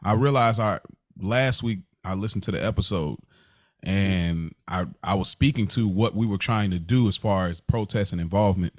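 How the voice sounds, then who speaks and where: Animated emphatic male podcast co-host. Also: low-pitched, moderate pace, no room sound. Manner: animated, emphatic, talks in bursts. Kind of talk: casual podcast chat.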